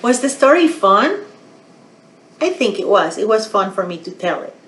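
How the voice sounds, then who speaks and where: A woman narrating a storytime for young children as a library storyteller, in a simple, expressive manner. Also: mid-range voice, normal speed. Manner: expressive, simple, asking the listeners questions.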